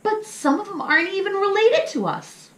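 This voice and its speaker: A woman's voice: extremely high pitch, animated, normal speed, fairly bright tone, pitched above the performer's own voice. A woman voicing a curious, eager little wolf pup in a children's storybook, with childlike, emphatic questions. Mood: puzzled. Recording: roomy, echoing sound.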